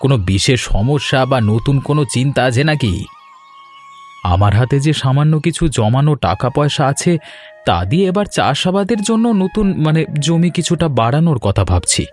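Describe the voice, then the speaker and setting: A man voicing a polite, good-natured young village husband in an animated Bengali family story, earnest and deliberate. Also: a little high, faint music behind, lightly acted. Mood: thoughtful.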